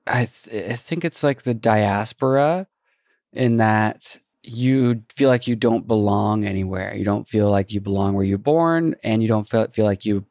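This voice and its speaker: A man's voice: fairly low pitch, unhurried, a little mellow, no room sound, studio quality. A man hosting a casual chat, talkative and eager to recommend things.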